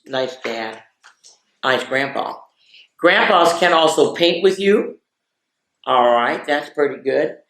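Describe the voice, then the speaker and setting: A woman hosting a children's story time, reading picture books aloud and adding chatty little asides. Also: very deep voice. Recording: echoey, roomy sound.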